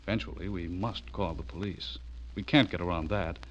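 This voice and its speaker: An actor as intense low-voiced radio horror narrator, man. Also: moderate pace, slight background noise, close to an everyday voice. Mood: steadying, firm. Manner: theatrical, intense, suspenseful delivery. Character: earnest, rattled man narrating his own horror story. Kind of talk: dramatic first-person radio horror narration and dialogue. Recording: muffled radio drama over loud music.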